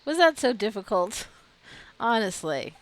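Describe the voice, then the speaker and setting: A woman's voice: on the high side, big pitch swings, unhurried, low-quality recording. A woman giving casual gameplay commentary, chatty and giggly, often talking straight to her game characters. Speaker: gameplay video creator.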